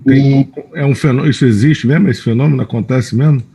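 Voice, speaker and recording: A man's voice: mid-range voice, brisk, dark tone, slight background noise. A Brazilian man politely putting a question to a lecturer from the audience, as a teacher.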